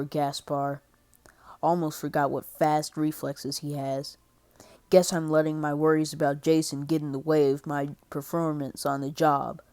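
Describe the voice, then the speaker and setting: A grim, brooding vigilante detective voiced by a woman narrates his inner thoughts from a comic book. The voice is very low and dark in tone. The delivery is terse, solemn and introspective.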